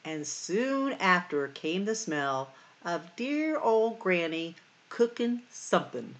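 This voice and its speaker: A middle-aged woman hosting storytime, reading a picture book expressively to children with sing-song, drawn-out emphasis. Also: fairly low pitch, very animated. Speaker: library storytime host.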